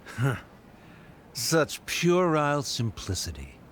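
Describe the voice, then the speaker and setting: Haughty scholar, expressive mid-pitched voice. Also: male voice, takes it slowly, slight background noise. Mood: disdainful. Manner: pompous, clipped. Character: haughty, cold academic father. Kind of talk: game cutscene voice acting.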